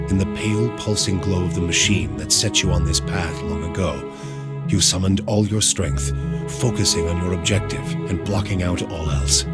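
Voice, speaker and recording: An actor playing a grave storyteller, narrating a fantasy game's ending in a measured, dramatic, literary manner. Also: male voice, low-pitched. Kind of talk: scripted narration of a game ending. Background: loud music.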